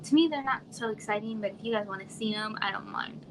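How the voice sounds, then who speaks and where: A woman from Arizona in her thirties, chatting casually on a home livestream in a quiet, soft voice that trails off and sometimes drops to a whisper. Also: mid-range voice, fast. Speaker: livestream host. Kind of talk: casual live chat with viewers. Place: home room. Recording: noisy home livestream audio.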